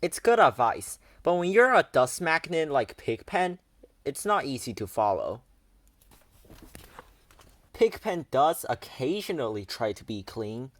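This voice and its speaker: A man's voice: mid-range voice, very animated, unhurried, a bit bright. A man narrating a children's picture book aloud as a storyteller.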